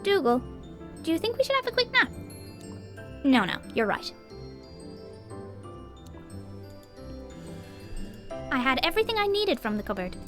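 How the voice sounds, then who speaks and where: A woman's voice: fairly quick, faint music behind, heavily acted. A woman voicing a curious, adventurous little girl in a video game, innocent and chatty. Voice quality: high, lively childlike voice.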